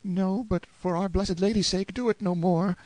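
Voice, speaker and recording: A man's voice: fairly high pitch, huge pitch swings, normal speed, darkish tone, slightly rough, no room sound, pitched above the performer's own voice, full character voice. A man voicing a timid, superstitious medieval page boy with archaic, pleading speech in an audiobook. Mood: terrified pleading. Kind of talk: audiobook character acting.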